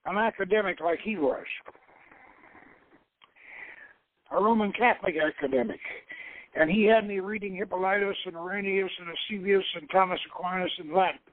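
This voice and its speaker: A man's voice: high voice, no room sound, lightly acted. A man voicing an earnest, reflective young Lebanese woman telling her life story in a dramatic novel reading, over a narrow phone-like line. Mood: earnest, critical.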